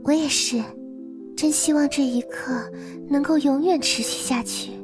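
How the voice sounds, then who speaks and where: A woman playing a mysterious, devoted girl in a visual novel game, speaking in a soft, earnest, coaxing manner. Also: high-pitched, steady tone, measured pace, music in the background. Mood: tender. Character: Lilith, mysterious devoted girl from beyond the world.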